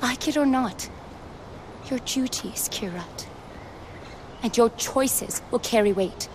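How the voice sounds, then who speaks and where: An actress playing an earnest female rebel fighter in a video game cutscene, hushed and persuasive. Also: on the high side, slow pace. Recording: audible background noise.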